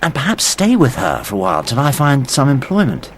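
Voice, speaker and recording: A man's voice: medium pitch, brisk, background noise. An English actor playing an impulsive young Englishman who narrates his own story in a radio drama. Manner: wry, animated storytelling. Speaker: narrator and lead.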